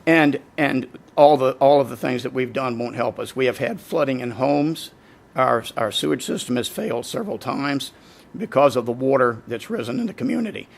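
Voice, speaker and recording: Gravelly-voiced man giving public comment. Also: medium pitch. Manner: earnest, halting with repeated words. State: losing his voice. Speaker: resident speaking at public hearing.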